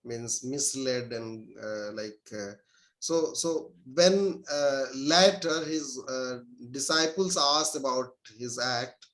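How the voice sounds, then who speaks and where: A man, an Urdu speaker, answering interview questions as a scholar and pausing often with fillers and restarts. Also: mid-range voice, fairly bright tone. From India. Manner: hesitant, many filler sounds and restarts.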